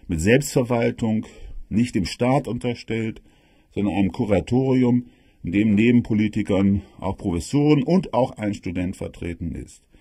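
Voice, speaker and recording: Male history narrator: husky, mellow, emphatic storyteller with dramatic pauses, recorded dry. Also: fairly low pitch, dry recording. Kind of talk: spoken history lecture for an audio production.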